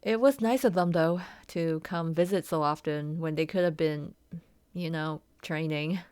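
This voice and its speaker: A woman voicing an injured, vulnerable young horse girl racer in a soft, confiding, hesitant ASMR roleplay. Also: sits low, a little slow, smooth, no room sound. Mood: wistful, downcast. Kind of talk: ASMR roleplay, scripted character acting.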